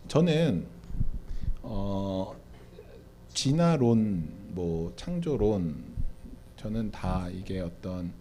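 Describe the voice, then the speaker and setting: Pastor guy answering church questions, talks right to the crowd, mellow low tone, faint hiss. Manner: addresses the audience often, asks and answers his own questions. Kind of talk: church Q&A answer, preaching style.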